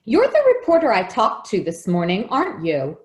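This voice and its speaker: A woman's voice: mid-range voice, huge pitch swings, moderate pace. A woman playing a caring, motherly but firm nanny in an old-time radio play. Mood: suspicious. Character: caring, protective nanny to a young girl. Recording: echoey room with noise and clicks.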